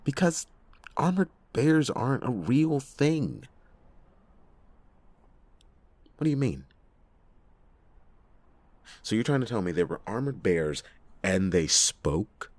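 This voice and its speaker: A man playing a cynical, reluctant, hard-drinking private detective in a noir ASMR roleplay, with a husky, gravelly voice and sardonic, theatrical delivery. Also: low voice, a little mellow, dry recording. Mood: incredulous. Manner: sardonic, theatrical, halting speech with drawn-out emphasis. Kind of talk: ASMR detective roleplay, acted monologue to listener.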